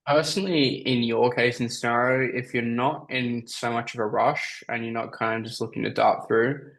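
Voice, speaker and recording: A man with a slightly raspy voice, coaching on a call and giving casual, emphatic advice as a sales coach. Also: medium pitch, fairly even intonation, moderate pace, fairly bright tone, low-quality recording. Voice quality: raspy from talking all day. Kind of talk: coaching call, answering questions.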